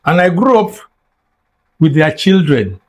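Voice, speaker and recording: Older Nigerian-accented man, native Yoruba speaker, interview guest, emphatic lecturer, slightly high voice. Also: sing-song, unhurried, a little mellow. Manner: emphatic and lecturing, repeats words for stress. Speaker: guest scholar. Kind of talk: reflective interview talk.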